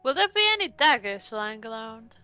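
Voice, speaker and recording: Bright, high-voiced woman, faint music. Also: very animated, normal speed, clear, resonant tone, no echo. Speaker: tabletop role-play player. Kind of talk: casual in-character role-play.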